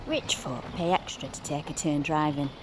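An actress playing a wary, cheeky working-class Georgian coach guard, talking bluntly in rustic dialect in an audio drama. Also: female voice, sits low, steady tone, normal speed, background noise, lightly acted.

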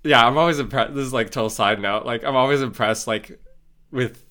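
A man's voice: medium pitch, brisk, pushed and bright. A young man hosting a sports podcast, chatting casually and enthusiastically, full of "like" and "super". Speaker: podcast host. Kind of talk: casual interview chat.

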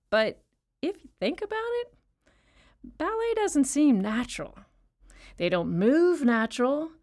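A woman's voice: high voice, darkish tone, full character voice. An actress playing a chatty, rambling woman inmate in an audio drama, telling stories in a wry tone. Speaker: actor in an audio play.

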